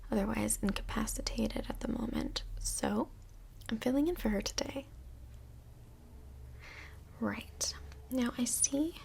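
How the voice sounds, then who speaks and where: A woman playing a polite, busy stand-in couples counselor in Hell in a soft-spoken ASMR roleplay, with a breathy, whispery voice and measured, tactful, professional phrasing. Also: a little high, slight background noise, full character voice.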